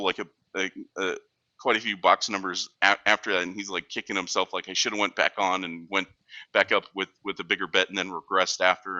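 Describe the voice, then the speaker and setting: A man from Texas telling stories casually as a guest, halting and often repeating words. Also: low voice, pushed and bright, dry recording, lo-fi sound. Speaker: guest, craps shooter. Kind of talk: casual chat, storytelling.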